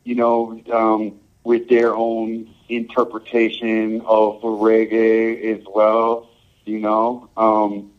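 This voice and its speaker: A man's voice: fairly low pitch, monotone. Laid-back man, slightly muffled recording. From Samoa and Hawaii. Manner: laid-back, halting, full of "um" and "you know". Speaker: reggae musician guest. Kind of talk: casual interview answers.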